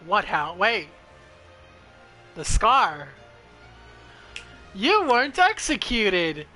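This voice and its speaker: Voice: medium pitch, very animated, drawn-out words, sharp tone, faint music behind. A streamer giving casual, crude and irreverent game commentary, sometimes reading the dialogue aloud.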